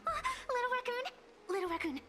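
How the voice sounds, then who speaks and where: A woman voicing an excitable, cheeky little game companion with a dramatic, girlish delivery. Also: very high-pitched, quick pace. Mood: eager, awed. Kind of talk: video game character acting. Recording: game dialogue over music.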